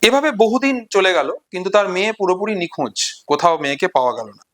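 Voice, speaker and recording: A man with a Bengali accent presenting literature, retelling and explaining stories in a deliberate way with pauses and repeated phrases for emphasis. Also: high-pitched, animated, fairly bright tone. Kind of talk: explanatory storytelling and literary commentary.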